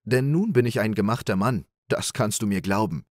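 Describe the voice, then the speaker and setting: A man voicing a clever, plucky young peasant in a storybook reading, talking in a raised, lively, boastful way. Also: lowish voice, normal speed, no echo, clean studio sound, lightly acted.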